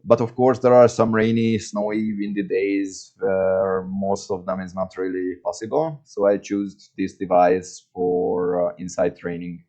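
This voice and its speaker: A man's voice: low-pitched, steady tone, a little slow, few pauses, dark tone, faint music behind. A man from Hungary with a Hungarian accent, presenting a scripted video review in English as a non-native speaker. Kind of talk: scripted product review to camera.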